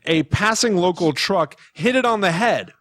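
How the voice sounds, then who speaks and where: A man's voice: sits high, lively intonation, normal speed, a bit bright, no echo. A man co-hosting a podcast, telling folklore in a casual, wry and playful chat. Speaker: podcast co-host.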